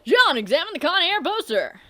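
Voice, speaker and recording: A young woman with a very high, bright voice reads a webcomic aloud in a theatrical way, her pitch jumping all over the place. She swears freely and drifts into offhand, rambling asides as she hosts a let's play. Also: sing-song, a little fast. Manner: theatrical reading, swears and trails off. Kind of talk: reading a webcomic aloud with commentary.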